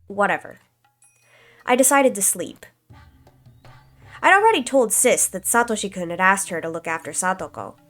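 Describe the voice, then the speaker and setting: A woman with a stuffy, slightly congested voice reads a visual novel aloud at a calm, even pace, with casual asides to her viewers. Her pitch sits in an ordinary middle range. Soft background music plays faintly behind her. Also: moderate pace, pauses often. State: stuffy nose, slight cough. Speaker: streamer.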